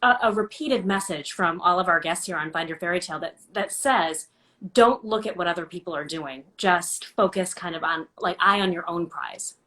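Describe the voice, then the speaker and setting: A woman's voice: lowish voice, moderate pace, bright, forceful tone. A woman hosting an interview, asking questions in a conversational way and repeating words as she starts phrases. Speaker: host. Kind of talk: interview host.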